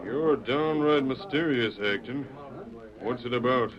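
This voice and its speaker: A man playing a gruff, wary, blunt Western saloon owner in an old-time radio drama. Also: medium pitch, measured pace, lo-fi sound, a crowd in the background, close to an everyday voice. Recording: echoey, noisy, distant old radio recording.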